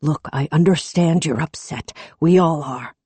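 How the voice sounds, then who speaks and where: A woman voicing a stern, curt middle-aged male police chief in an audiobook, her own low voice barely changed. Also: a little slow, dark tone, husky, no echo. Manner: curt, authoritative.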